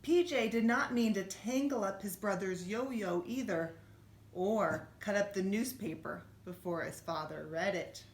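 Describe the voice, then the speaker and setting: A woman reading a children's storybook aloud like a teacher, expressively, with gentle questions to her young listeners. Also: mid-range voice, unhurried. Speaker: schoolteacher reading a bedtime story. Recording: roomy, echoey room sound.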